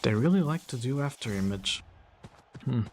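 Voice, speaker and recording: A man streaming a video game, chatting casually to viewers in a relaxed, rambling way full of fillers. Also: mid-range voice, normal speed. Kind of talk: casual gameplay commentary.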